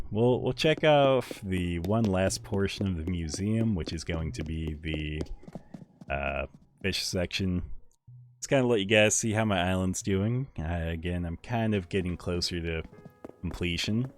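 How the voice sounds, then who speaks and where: A young man with a deep voice chatting casually with viewers while he plays a game on a live stream, rambling in a relaxed way. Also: a little slow. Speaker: livestreamer. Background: faint game music.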